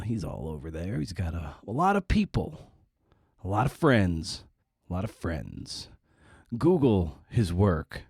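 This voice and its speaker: Low-voiced, wry podcast host man. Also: darkish tone, no echo, clean studio sound. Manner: wry, self-deprecating asides. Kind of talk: casual, jokey podcast intro monologue.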